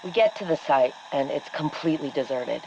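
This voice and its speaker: A woman playing a shaken, sardonic army helicopter captain, giving a halting, bitter debrief for a game audio log. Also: sits low, lively intonation, vocal fry, muffled recording, background noise. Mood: weary, sardonic. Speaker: military helicopter exfil pilot.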